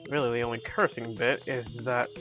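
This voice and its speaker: A man's voice: mid-range voice, fairly even intonation, a little fast, muffled recording, over music. A man giving casual, wry game commentary and reading game text aloud in an offhand way. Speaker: video game player.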